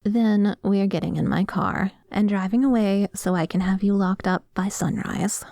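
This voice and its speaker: A woman's voice: medium pitch, dark tone, no echo, close to an everyday voice. Woman acting a possessive vampire woman, close and dry. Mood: commanding, menacing. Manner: breathy sighs, drawn-out savouring words, slipping into whispers; commanding, condescending. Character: dominant, possessive vampire woman, obsessive and hungry. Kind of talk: scripted ASMR roleplay audio, addressing the listener.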